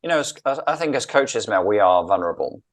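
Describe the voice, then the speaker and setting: Middle-aged English male coach, low voice, southern British accent, reflective and emphatic interview delivery. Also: sing-song, quick pace. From London, England. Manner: earnest, emphatic, repeats phrases for effect. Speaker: interview guest, executive coach.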